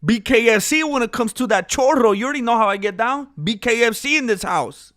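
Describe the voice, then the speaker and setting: Man streaming, high voice, smooth and clear, dry close sound, casual jokey chat, voice getting worn. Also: lively intonation, a little fast, fairly bright tone, no room sound. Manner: jokey, breaks into off-key singing and ad-jingle bits. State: losing his voice.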